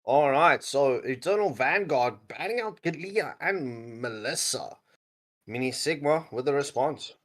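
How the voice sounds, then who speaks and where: A man's voice: medium pitch, expressive, unhurried, a bit bright. A man casting a mobile esports match, joking and laughing often between calls. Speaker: esports caster. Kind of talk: casual esports commentary.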